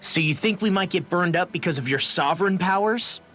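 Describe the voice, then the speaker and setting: An actor playing a hot-headed, loyal young fighter in a video game, blunt and slangy. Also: male voice, high-pitched, a little fast, pushed and bright. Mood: fired up. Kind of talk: dramatic video game voice acting.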